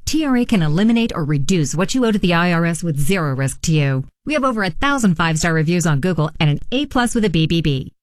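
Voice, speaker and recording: A woman's voice: lowish voice, expressive, fast talker, dry recording. A woman reading a scripted tax-relief ad in a reassuring, direct tone. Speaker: ad announcer. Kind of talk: scripted ad read.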